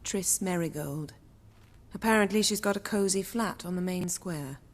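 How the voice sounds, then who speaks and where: An actress playing a composed, assured sorceress in a video game, speaking with a slightly wry edge. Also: female voice, on the low side, normal speed, big-room sound, close to an everyday voice. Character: poised, commanding sorceress, adult woman.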